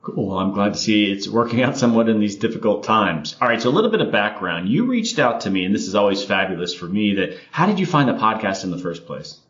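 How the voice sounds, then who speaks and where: A man's voice: on the low side, sing-song, fast, hardly any pauses, fairly bright tone, highs cut off. A man hosting a podcast interview, upbeat and chatty, often saying "all right" between questions. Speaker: podcast host. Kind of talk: casual interview chat.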